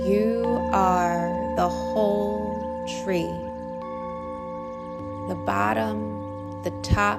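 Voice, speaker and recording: A woman leading a guided meditation in a soothing, unhurried manner, speaking in short phrases with long pauses. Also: medium pitch, creaky. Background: singing bowl meditation music.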